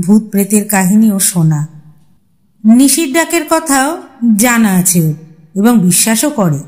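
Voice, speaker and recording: A woman narrating a horror story as a storyteller, with a suspenseful, expressive dramatic reading. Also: fairly high pitch.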